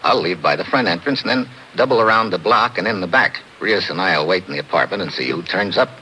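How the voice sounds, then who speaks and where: An actor playing a tough, slangy American investigator who narrates in clipped 1950s radio adventure style. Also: male voice, deep voice, animated, quick pace, sharp tone. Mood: brisk, determined. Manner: clipped, hard-boiled. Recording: noisy old 1950s radio recording.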